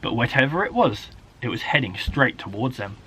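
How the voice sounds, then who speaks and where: A man, a primary school teacher, reading a children's story aloud in an expressive, dramatic way. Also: mid-range voice, normal speed, slightly rough, low-quality recording. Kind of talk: animated children's story narration.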